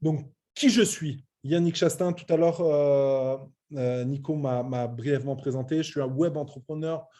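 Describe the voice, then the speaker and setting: A man's voice: on the high side, a little slow, warm tone. A man in his late thirties giving an informal online business presentation, emphatic but often hesitating and repeating his words. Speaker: web entrepreneur presenting a training programme.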